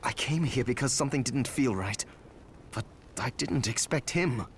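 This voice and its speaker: An actor playing an earnest, worried alchemist apprentice in a video game, speaking with urgency. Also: male voice, medium pitch, lively intonation, moderate pace, a little hiss or hum, natural voice. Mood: grave.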